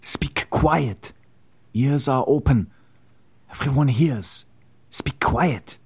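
An actor playing an anxious, reluctant immigrant tradesman with a foreign accent in a historical drama, speaking in halting, careful phrases. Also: medium pitch, a little mellow, slightly rough, exaggerated voice. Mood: fearful, hushed. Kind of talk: period historical drama acting.

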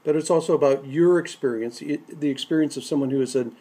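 Measured male radio host. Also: fairly high pitch, warm tone, slightly rough. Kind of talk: radio interview and introduction.

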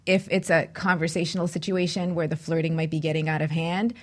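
A woman's voice: low voice, level tone, fairly quick. A middle-aged woman co-hosting a talk show, speaking in a reflective, playful, conversational way. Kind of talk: casual conversational discussion.

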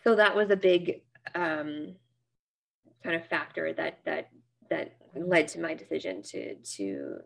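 A woman's voice: deep voice, vocal fry, fairly bright tone. A middle-aged woman from Nova Scotia with a Canadian accent, speaking earnestly as a therapist guest in an interview, with many fillers and restarts. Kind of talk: interview conversation.

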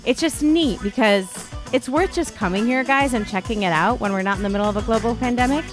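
A woman's voice: on the high side, fairly quick, music in the background. An American woman from Connecticut co-hosting a podcast, chatting enthusiastically in casual banter. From Connecticut, USA. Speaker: podcast co-host.

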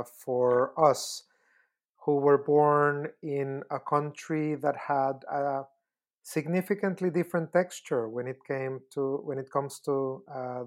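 A man in his fifties from Costa Rica, answering interview questions in a measured, deliberate way with frequent pauses. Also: medium pitch. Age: 50s. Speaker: guest, UN human rights expert.

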